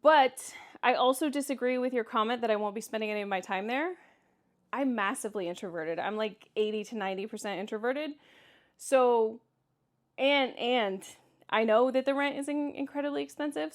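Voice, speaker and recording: A woman's voice: on the high side, brisk. A woman with a Kansas accent chatting casually in a solo vlog, candid and emphatic as she responds to comments. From Kansas, United States. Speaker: vlogger.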